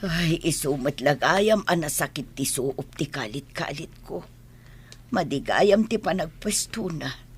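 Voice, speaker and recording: A woman's voice: low voice, animated, unhurried, slightly rough, full character voice. A Filipino woman playing an injured elderly mother in an audio drama, speaking plaintively. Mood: pained, weary. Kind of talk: Ilocano audio drama acting.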